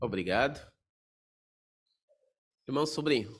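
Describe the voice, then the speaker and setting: A man's voice: medium pitch, lively intonation, unhurried, breathy edge. A man leading a question-and-answer religious meeting with brief, orderly prompts, calling on people to answer. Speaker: study conductor.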